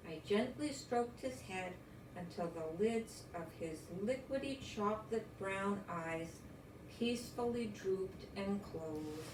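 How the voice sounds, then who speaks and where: An older woman reading a children's story aloud in a gentle, expressive, encouraging way. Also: medium pitch, slow. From United States. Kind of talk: read-aloud children's story with chatty asides. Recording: roomy echo, distant mic, faint noise.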